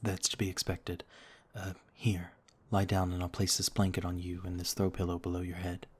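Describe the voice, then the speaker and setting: Male actor as courtly ancient vampire for ASMR roleplay: deep, restrained in pitch movement, gentle and reassuring. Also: monotone, moderate pace, a little hiss or hum, natural voice. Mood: tender, grateful. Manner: courtly, formal, soothing, reassuring. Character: gentle noble male vampire, over a thousand years old, looks thirty-two.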